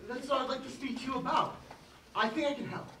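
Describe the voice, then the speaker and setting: A young actor playing a poor village tailor, earnest, nervous and halting, in a film drama. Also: male voice, very high-pitched, pushed and bright, heavily acted. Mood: timid, eager. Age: young adult. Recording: echoey, distant, noisy film soundtrack.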